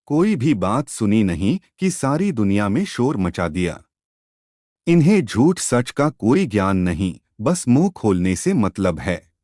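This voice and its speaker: A man narrating a scripted folk tale as a storyteller, in a measured, expressive audiobook manner. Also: on the low side, dry recording, studio quality.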